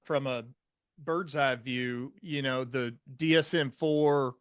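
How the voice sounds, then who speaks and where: A man hosting a conversational interview as a fellow psychiatrist, speaking in a measured, thoughtful way. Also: medium pitch, fairly even intonation, smooth, no room sound, muffled recording. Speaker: podcast host, psychiatrist.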